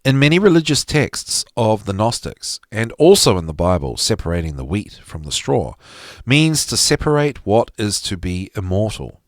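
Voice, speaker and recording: Male reader for lecture-style material, slightly low voice, highly animated intonation, deliberate and emphatic. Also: no echo, studio quality. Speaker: podcast host reading aloud from a psychology text. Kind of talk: expressive reading of a psychology lecture.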